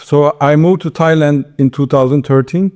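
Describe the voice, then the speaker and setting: A man with a Turkish accent giving informal interview answers, halting and restarting his words as he goes. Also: fairly high pitch, steady tone, darkish tone. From Istanbul, Turkey. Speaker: interview guest, entrepreneur.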